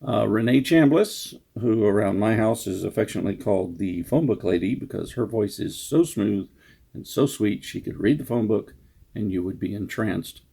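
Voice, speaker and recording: A man with a husky, creaky voice giving a casual, halting, self-deprecating introduction as an author. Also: lowish voice, warm tone. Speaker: author introducing his own story.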